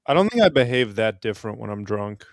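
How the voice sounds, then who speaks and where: Lively joking man, dry close sound. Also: fairly low pitch, fast, vocal fry, no room sound. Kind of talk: casual banter among friends.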